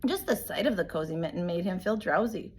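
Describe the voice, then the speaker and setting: A woman reading a picture book aloud in an animated, emphatic way, like a teacher telling a story to young children. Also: on the low side, a little fast. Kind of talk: expressive storybook read-aloud.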